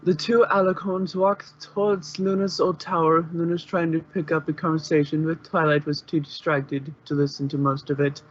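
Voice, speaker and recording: A man narrates a fanfiction story in an informal reading style. His voice sits on the high side for a man. The recording is slightly dull and lo-fi. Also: little pitch movement, moderate pace, few pauses.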